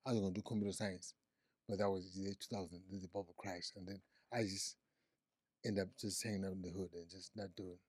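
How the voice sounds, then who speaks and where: Guy in his 40s, low mellow voice, dry close sound, keeps repeating himself, lots of yeahs. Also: flat delivery, fast, no echo. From Zimbabwe. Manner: repeats words, frequent yeahs and restarts. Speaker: guest, psychiatric nurse practitioner. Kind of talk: casual interview conversation.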